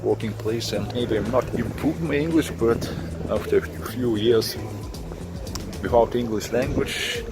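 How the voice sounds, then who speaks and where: Lithuanian man talking over loud music. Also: mid-range voice. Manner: halting, searching for words, with repeated restarts. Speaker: vlogger. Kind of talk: casual personal storytelling.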